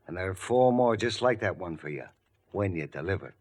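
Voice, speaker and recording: A shady gangster from a 1940s radio mystery, speaks in a low voice. He is sly and insinuating, talking in tough slang with quiet menace. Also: lively intonation, brisk. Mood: sly, probing. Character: shady gangster man, quietly menacing, offering murder money.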